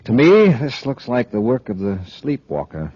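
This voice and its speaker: An actor playing an authoritative, heroic space patrol commander, clipped and decisive, in a 1950s radio adventure serial. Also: male voice, medium pitch, sing-song, moderate pace, dark tone, slightly rough, dry recording. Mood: thoughtful. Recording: dull old radio recording.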